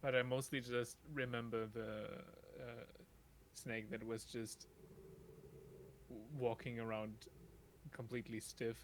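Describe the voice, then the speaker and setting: A man with an average-pitched voice talks casually on a game stream. He is wry and gently teasing. A little background noise sits under his voice. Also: little pitch movement, a little slow, pauses often. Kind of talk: casual chat on a gaming stream.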